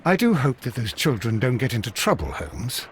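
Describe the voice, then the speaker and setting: An actor playing a fussy, anxious Victorian gentleman companion in a game, with an English accent and a proper, excitable manner. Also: mid-range voice, normal speed, a little hiss or hum. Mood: worried, alarmed. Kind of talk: scripted game dialogue.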